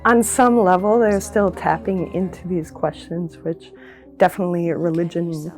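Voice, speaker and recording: A woman's voice: fairly low pitch, steady tone, moderate pace, a little mellow, faint music behind. A woman who dances, speaking earnestly and reflectively in an interview, often with ums and likes. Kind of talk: documentary interview.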